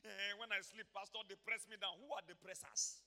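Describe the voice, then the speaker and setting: A man preaching an energetic sermon in a church, swinging from quiet asides to shouted exclamations and acting out voices. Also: high-pitched, fairly quick. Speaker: pastor. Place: church hall.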